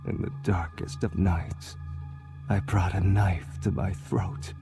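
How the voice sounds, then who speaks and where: A man's voice: deep voice, little pitch movement, takes it slowly, gravelly, over music, full character voice. An actor playing a bitter, vengeful, haunted man in a video game, speaking in a brooding half-whisper. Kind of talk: dramatic video game monologue.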